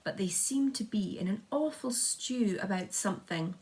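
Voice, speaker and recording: A woman's voice: mid-range voice, animated, moderate pace, a little mellow, lightly acted, poor audio. Woman reading as a cheeky giant centipede. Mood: offhand. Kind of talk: children's storybook character voice.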